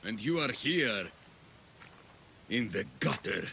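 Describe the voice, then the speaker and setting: A cold, imperious cult master from a video game drama. The voice is gravelly and very slow, with condescending menace in each measured phrase. A clear hiss sits under it. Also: male voice, lowish voice, very animated, pushed and bright, full character voice. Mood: cold, probing.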